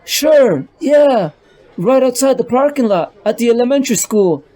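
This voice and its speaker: A man's voice: very high voice, expressive, moderate pace, warm tone, pitched above the performer's own voice. A man voicing a sleazy, boastful drug dealer in a comic-book drama. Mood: smug.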